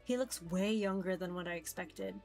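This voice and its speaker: A woman's voice: fairly low pitch, moderate pace, a little mellow, soft background music. A woman streamer reading a visual novel aloud in a casual live style, often stumbling over words and teasing herself.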